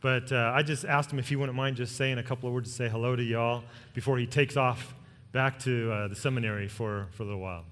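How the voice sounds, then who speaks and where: A male pastor talks casually to his congregation in a medium-pitched voice. His announcements are informal and joking. The sound carries the long reverb of a large hall. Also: fairly even intonation, fast talker, a bit bright. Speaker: senior pastor.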